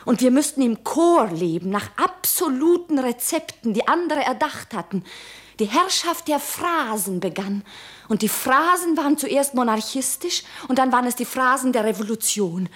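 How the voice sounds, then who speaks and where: A woman's voice: fairly high pitch, full character voice. An actress in a German radio play, playing an anguished, devoted woman in love who is broken by war, speaking in a lyrical, impassioned way. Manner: impassioned, lyrical, dropping into breathy whispers.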